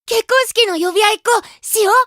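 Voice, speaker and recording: Very high, childlike boy idol. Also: fairly bright tone, no room sound, studio quality. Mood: delighted. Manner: childlike halting broken phrases. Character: innocent, cheerful teenage boy idol, affectionate foreigner. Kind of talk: anime game voice acting.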